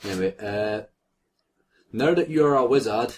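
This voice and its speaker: Man, lowish voice, mock-dramatic comic story reader given to asides, slight background noise. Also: animated, normal speed. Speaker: co-host reading fan fiction aloud. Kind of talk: comic read-aloud with joking asides.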